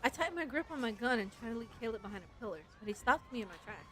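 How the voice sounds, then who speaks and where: A woman's voice: a little high, lively intonation, racing, bright, forceful tone. A woman livestreaming a game, chatting casually and reading its story aloud in a playful way. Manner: playful, giggly fan commentary. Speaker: game streamer. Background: game music.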